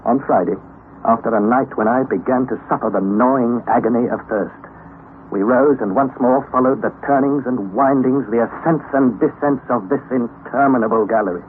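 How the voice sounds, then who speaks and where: A man's voice: medium pitch, moderate pace, a little hiss or hum, lightly acted. Man playing earnest young adventurer, tinny old radio. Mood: grim narration. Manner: earnest, dramatic, formal. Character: anxious young nephew narrating a perilous expedition. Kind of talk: old-time radio drama narration and acting.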